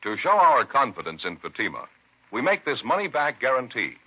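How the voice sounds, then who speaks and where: Animated, husky male 1950s radio pitchman. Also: fairly quick. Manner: emphatic, formal, salesman-like. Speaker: commercial announcer. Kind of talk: 1950s radio advertisement read. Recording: muffled vintage radio broadcast.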